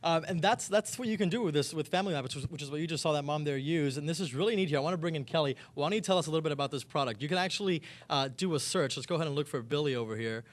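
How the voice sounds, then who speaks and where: A man's voice: a little high, rapid-fire, hardly any pauses. A man reporting live for a morning TV show, chatting enthusiastically as he demonstrates things. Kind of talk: live TV segment, chatty product demo.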